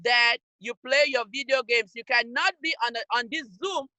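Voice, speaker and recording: A woman speaks high and bright straight to young listeners. She presses each point with firm, scolding emphasis. The sound is close and dry, coming through a video-call mic. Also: normal speed, no echo, low-quality recording. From Cameroon. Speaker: youth program speaker. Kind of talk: motivational talk to young people.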